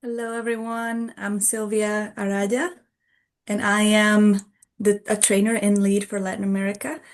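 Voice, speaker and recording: A woman introduces an online program in a measured, thankful way. Her voice sits at a middle pitch, and she speaks into a video call. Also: little pitch movement. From Costa Rica. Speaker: trainer and co-host. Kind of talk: scripted event hosting.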